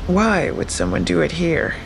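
A man's voice: high voice, normal speed, creaky, background noise. An actor playing a persistent male detective who keeps questioning a case. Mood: probing. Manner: questioning, insistent.

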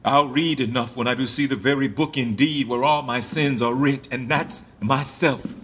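A man's voice: medium pitch, fairly even intonation, moderate pace, fairly bright tone, breathy edge. An actor playing a deposed English king in a Shakespearean radio play, declaiming verse in an anguished, mournful and bitterly proud way. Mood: despairing, mournful.

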